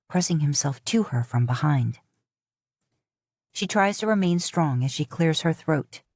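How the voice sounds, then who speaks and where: Audiobook narrator: woman with a lowish pitch, calm and even, recorded dry. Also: dry recording. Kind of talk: audiobook fan fiction reading.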